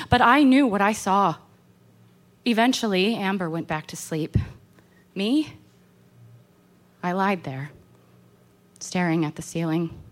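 An actress playing an anxious, haunted woman in a horror radio play, confiding in a halting, intense way. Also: mid-range voice, natural voice. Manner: halting, intense confessional delivery. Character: anxious, haunted woman recounting an insect terror. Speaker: actor.

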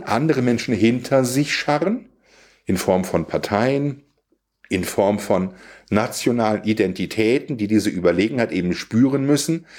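A man's voice: medium pitch, darkish tone. A man speaking in a reflective discussion, explaining things in an articulate, emphatic way.

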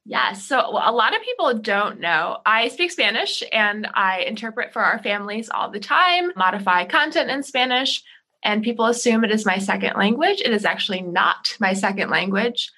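A woman in her thirties chats as a podcast guest, telling stories in an animated way. Her voice is bright and forward. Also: medium pitch, moderate pace. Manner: chatty, animated storyteller. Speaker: podcast guest, ESL teacher. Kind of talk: casual interview storytelling.

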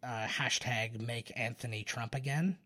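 A man's voice: mid-range voice, a little slow, sharp tone. A man co-hosting a podcast with casual film commentary, rambling and joking with plenty of swearing. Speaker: podcast co-host.

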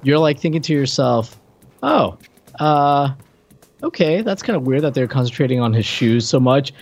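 A man co-hosting a podcast in casual, unscripted chat, with a halting, rambling delivery full of repeated words and stammers. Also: medium pitch, animated, a little mellow, faint music behind. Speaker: podcast co-host reviewing retro video games.

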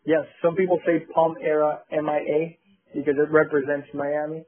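A man, a company co-founder, talking about his business in a halting way as an interview guest. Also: fairly high pitch, flat delivery. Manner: halting, many fillers and restarts. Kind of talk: casual interview. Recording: tinny phone-like line.

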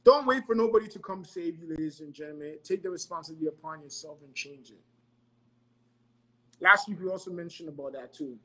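A man's voice: on the high side, brisk, pushed and bright, poor audio. A man from Ghana giving earnest, motivational money advice in a casual podcast, often repeating himself and checking in with "right?".

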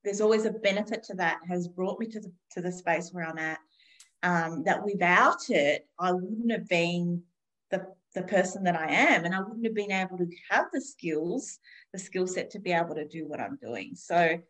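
A woman's voice: fairly low pitch, normal speed, a bit bright, low-quality recording. A woman in her fifties talking thoughtfully and unhurriedly in a casual conversation, with frequent restarts. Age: 50s. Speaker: guest.

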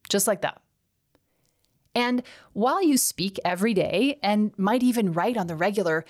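Canadian woman hosting a lively podcast. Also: medium pitch. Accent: slight Canadian. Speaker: podcast host. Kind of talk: scripted solo podcast monologue.

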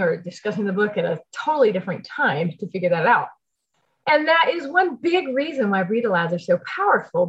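Higher-pitched American woman, chatty and explanatory. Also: dull audio. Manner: chatty, explanatory, often checks in with "okay" and "right". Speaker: podcast host giving homeschool tips. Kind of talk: casual conversational advice podcast.

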